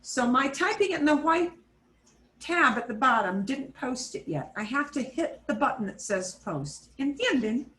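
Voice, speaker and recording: A woman teaching a Spanish class over a video call, switching between Spanish and English and repeating phrases slowly. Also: sits high, sing-song, a bit bright, echoey room. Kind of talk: online class instruction.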